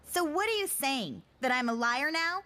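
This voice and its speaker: A high, swooping teenage girl voiced by a woman in a motion comic. She is sassy and dramatic, with a bright, exasperated edge to everything and some music behind. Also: normal speed. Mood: exasperated, sulky. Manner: exasperated, expressive. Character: sassy, dramatic fourteen-year-old girl. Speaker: voice actor.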